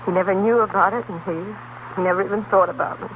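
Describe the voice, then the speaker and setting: An earnest young schoolteacher played by a woman in an old radio drama, intense and full of feeling. The sound is thin and narrow, with clear noise behind the voice. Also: mid-range voice, fairly even intonation, quick pace, lightly acted. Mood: sad, confessing. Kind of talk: 1930s radio drama acting. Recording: noisy, tinny 1930s radio broadcast.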